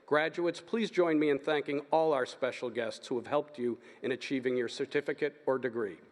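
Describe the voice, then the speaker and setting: A man giving formal, steady announcements as presenter at a graduation ceremony. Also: medium pitch, moderate pace, slight background noise. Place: large hall. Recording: long reverb of a large hall.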